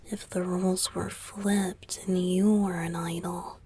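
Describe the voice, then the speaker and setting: A woman voicing a young male idol boyfriend in a romantic ASMR roleplay, tender and clingy, speaking in an intimate, hesitant, breathy whisper. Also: fairly low pitch, little pitch movement, takes it slowly. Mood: apologetic, tender. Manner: soft, hesitant, pleading, confiding.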